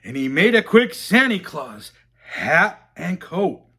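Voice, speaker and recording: A man reading a children's storybook aloud theatrically, with emphatic phrasing and drawn-out pauses. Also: sits high, big pitch swings, sharp tone, slightly rough.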